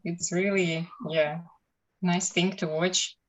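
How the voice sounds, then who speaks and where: Easygoing woman speaking slowly. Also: lowish voice, little pitch movement, low-quality recording. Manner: easygoing, agreeable, laughs often. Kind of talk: casual group chat in English.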